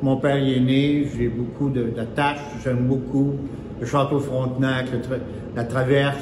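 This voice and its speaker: A man's voice: medium pitch, little pitch movement, a little slow. A man answers interview questions in a loose, chatty way, often searching for the next word. His voice echoes off a large room with a long reverb tail. Noise hums underneath. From Quebec, Canada.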